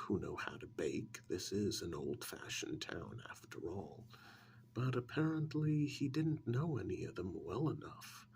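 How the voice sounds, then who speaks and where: A man tells a story in a soft-spoken ASMR role-play style, halting and deliberate, often slipping into a whisper close to the microphone. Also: fairly low pitch, vocal fry, a little hiss or hum. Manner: hushed, often dropping into whisper; halting, deliberate phrasing with long pauses.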